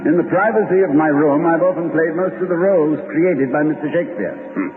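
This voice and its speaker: An actor playing a cultured, whimsical, romantic college head in an old radio comedy-drama, speaking in articulate, theatrical sentences. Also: male voice, fairly high pitch, expressive, a little fast, lightly acted. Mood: romantic, playful. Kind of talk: 1940s-50s radio comedy-drama acting. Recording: old muffled radio recording, reverberant, music behind.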